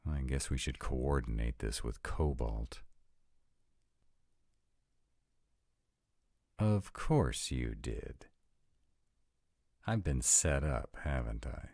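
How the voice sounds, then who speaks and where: A very deep, dark-toned male vampire, acted by a man for a roleplay audio drama. He is sarcastic and grudgingly devoted, with lively swings in his low voice and a dry, reluctant delivery. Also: slow, dry recording, heavily acted. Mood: resigned. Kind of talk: scripted roleplay audio drama, one side of a conversation.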